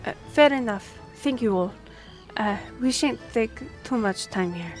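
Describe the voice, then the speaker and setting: A woman's voice: fairly high pitch, animated, a little slow, faint music behind. A woman playing a courteous half-elf royal liaison in a fantasy audio drama, speaking formally and explaining things with some hesitation. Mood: polite, formal.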